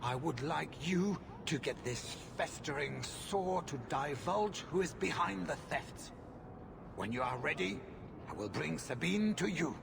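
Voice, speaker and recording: A haughty merchant for a video game cutscene. His voice is on the high side with a lot of gritty fry, and he speaks in pompous, contemptuous, formal phrases. There is clear noise behind him. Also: animated, unhurried, full character voice. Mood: haughty, irritated. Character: haughty, hot-tempered male merchant, foul-mouthed and ruthless.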